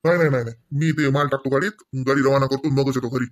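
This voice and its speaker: A man puts on an old village father in a comedy cartoon. He grumbles insistently but caringly in a lowered voice, with a rural Marathi accent. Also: fairly even intonation, quick pace, natural voice. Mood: grumbling, insistent.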